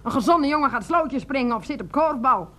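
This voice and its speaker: A woman's voice: sits high, lively intonation, brisk, a crowd in the background. An actress in a radio play, playing a middle-aged aunt who dotes on her nephew and fusses and scolds with many exclamations. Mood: indignant, scolding. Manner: exclamatory, fussing, chiding.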